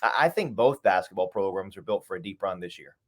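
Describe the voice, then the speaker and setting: A man's voice: deep voice, lively intonation, fast talker. A man who works as a sports announcer, giving casual, emphatic analysis as an interview guest.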